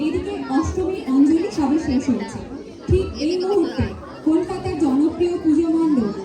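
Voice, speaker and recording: An upbeat festival reporter acted by a woman delivers scripted news in a high voice. She sounds far from the mic in an echoing hall, with music behind. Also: animated, normal speed, crowd noise. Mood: brisk reporting. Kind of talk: scripted news-style commentary in stage play. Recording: echoey hall, distant mic, music, audience.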